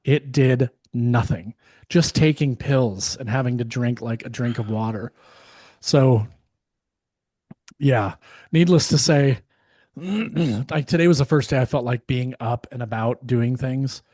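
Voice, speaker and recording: Guy with a hoarse voice that's going, rambling on a podcast, lots of ums, middle pitch, dry sound. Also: slow, darkish tone, dry recording. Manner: rambling storytelling with many fillers and restarts. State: hoarse, losing his voice. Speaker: podcast co-host. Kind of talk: casual chat.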